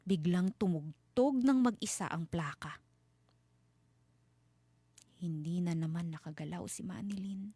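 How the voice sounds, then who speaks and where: A woman storyteller giving a dramatic scripted horror narration with suspenseful, deliberate phrasing. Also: lowish voice, mellow, husky, no room sound.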